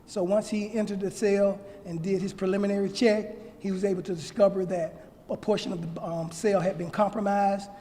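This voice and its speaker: A man speaking as a law enforcement official, answering press questions in a measured, formal and halting way. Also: high voice, flat delivery, mellow. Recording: hall with long reverb.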